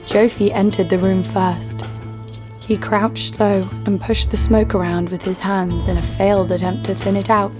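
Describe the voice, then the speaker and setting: A woman's voice: medium pitch, level tone, normal speed, natural voice. An actress playing a tough, wry female adventurer who narrates her own story in a scripted audio drama. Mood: wry, tense. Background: music.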